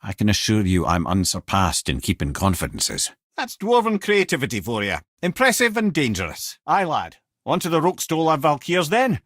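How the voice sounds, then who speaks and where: A man's voice: mid-range voice, normal speed, hardly any pauses, no echo, studio quality. A man voicing a witty, worldly old sage for a video game, telling tales with a Scottish accent in an eloquent, avuncular way. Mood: wry, conversational, then encouraging. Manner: wry, eloquent, avuncular, expressive. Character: witty old severed talking head, worldly storyteller sage. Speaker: companion and storyteller. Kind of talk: video game voice acting, storytelling.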